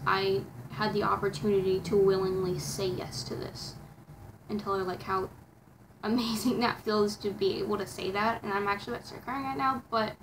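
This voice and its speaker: A teenage girl with a raspy voice, talking to her camera in a rambling, casual vlog full of fillers and restarts. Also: medium pitch, noisy background. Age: teens. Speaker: vlogger.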